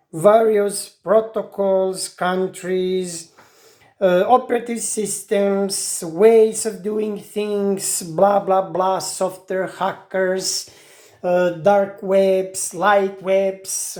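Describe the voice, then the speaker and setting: A woman's voice: mid-range voice, warm tone. A woman, talking to camera in a casual monologue and often stopping to search for words. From Croatia. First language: Italian. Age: 40s. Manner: halting, searching for words, many restarts. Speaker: IT specialist.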